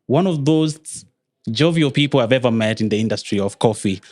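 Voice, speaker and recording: A man hosts an interview, speaking at an ordinary pitch. He introduces his guest at a measured pace and keeps his questions short, with quick acknowledgements between. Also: expressive, a little mellow. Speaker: podcast host. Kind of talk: interview hosting.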